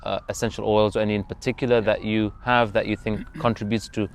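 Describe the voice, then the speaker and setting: A man's voice: fairly low pitch, level tone, fast talker, a little hiss or hum. A man from Zambia hosting a casual interview, speaking in an agreeable, affirming way as he shares thoughts and leads into questions.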